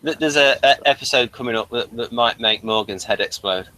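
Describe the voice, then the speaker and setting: A man's voice: mid-range voice, brisk, pushed and bright, no room sound, low-quality recording. A man hosting a review show in a casual, conversational style. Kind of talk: casual chat.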